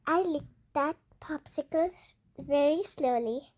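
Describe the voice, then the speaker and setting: A young girl with a high voice reads a children's storybook aloud. She goes very slowly, halting from word to word as she hosts story time. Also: a little mellow. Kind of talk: children's picture book read-aloud.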